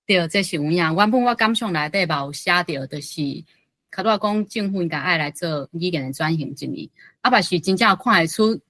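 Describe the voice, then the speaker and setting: A woman's voice: lowish voice, expressive, a little fast, fairly bright tone, no room sound. A woman guest in an informal discussion, putting questions and comments to an author. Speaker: reading group guest asking questions. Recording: faint music behind. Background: faint music.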